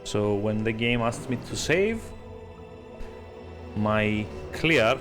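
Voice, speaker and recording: A man streaming a video game, giving casual, rambling live commentary with hesitant pauses and dry sarcasm. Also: fairly low pitch, expressive, over music.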